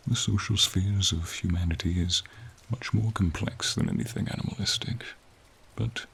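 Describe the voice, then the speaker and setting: A man voicing a gentle, wise, lonely dragon in a slow, intimate ASMR fantasy roleplay, with a deep, gravelly, breathy voice and measured, pensive, formal phrasing. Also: full character voice. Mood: pensive, encouraging. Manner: measured, pensive, slightly formal, with frequent pauses. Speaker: voice actor in roleplay audio. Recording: rain or ambient noise behind. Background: light rain, outdoor ambience.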